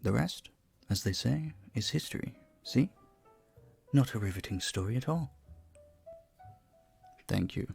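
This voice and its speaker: A man playing a charming, polite vampire bartender in an intimate audio roleplay, soft-spoken and slightly formal. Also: mid-range voice, animated, measured pace, gravelly, pauses often, breathy edge, soft background music, heavily acted. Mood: modest, conversational. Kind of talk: audio roleplay, intimate one-sided dialogue.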